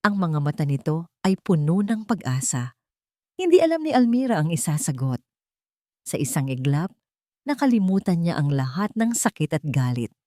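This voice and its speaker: A woman's voice: low voice, sing-song, normal speed, dark tone, dry recording, studio quality. A woman narrating a scripted romantic drama in an emotive storytelling manner.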